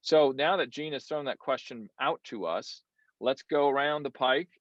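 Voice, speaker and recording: Man, panel moderator, chatty and joking, mid-pitched, dry but slightly dull lo-fi audio. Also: moderate pace, fairly bright tone, no room sound.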